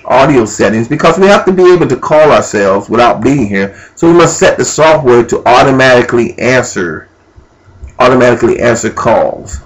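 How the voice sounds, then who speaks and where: A man giving an informal, unscripted step-by-step software walkthrough, thinking aloud as he goes. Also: medium pitch, lively intonation, moderate pace, low-quality recording. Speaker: amateur tutorial presenter. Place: indoors at a computer.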